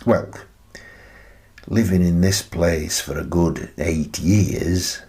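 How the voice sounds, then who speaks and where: A wry, contented older male recluse, played by an actor in a radio drama monologue. His voice sits low and creaks with fry. He takes his time, chatting and musing with gentle amusement at a very unhurried pace. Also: exaggerated voice.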